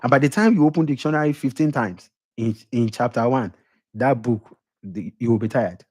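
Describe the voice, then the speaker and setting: A man's voice: mid-range voice, animated, normal speed, a little mellow, breathy edge. A middle-aged man with a Nigerian accent giving an emphatic motivational lecture, repeating his points and putting questions to the audience. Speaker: motivational speaker.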